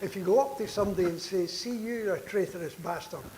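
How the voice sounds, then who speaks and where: A man's voice: high voice, fast. An older man who is a veteran politician, talking earnestly on a conference panel and restarting words as he presses his point. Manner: earnest, persuasive, repeats words when stressing a point. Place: conference hall. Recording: echoey hall with background noise.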